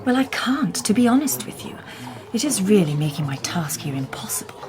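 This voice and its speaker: An actress playing a composed, shrewd Victorian lady detective in a radio drama, polite and quietly ironic. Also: medium pitch, very animated, brisk, noisy background, close to an everyday voice.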